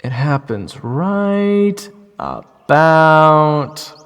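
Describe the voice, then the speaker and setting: A man playing a cocky, grumbling young scout and would-be hero, sarcastic and excitable, in an audio drama. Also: on the high side, extremely slow, clean tone, exaggerated voice. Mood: wry, reflective. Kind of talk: audio roleplay drama acting with narration. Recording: long reverb, music under the voice.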